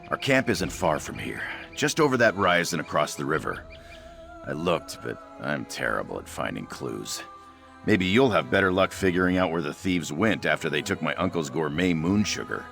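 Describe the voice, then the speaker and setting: A man's voice: extremely low pitch, very animated, moderate pace, gravelly, a bit bright, soft background music, full character voice. A man voicing an anxious, self-doubting young cat-man trader in a fantasy video game, fretful and hesitant. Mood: anxious, earnest.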